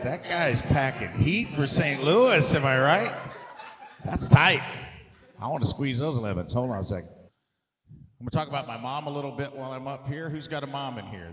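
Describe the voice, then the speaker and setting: A man's voice: a little high, a bit bright. A man doing stand-up comedy, conversational and prodding the audience with crowd work.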